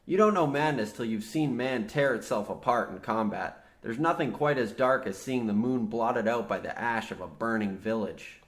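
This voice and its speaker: A man's voice: mid-range voice, moderate pace, few pauses, close to an everyday voice. An actor playing an exasperated, practical younger man, serious and matter-of-fact, as the straight man in a comic old-time radio horror play. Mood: grim, defiant. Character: exasperated, practical younger man, war veteran trapped underground.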